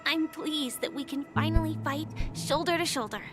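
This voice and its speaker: An actress plays a high-voiced young woman from a game. She is gentle, earnest and polite, with music playing behind her. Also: normal speed, lightly acted.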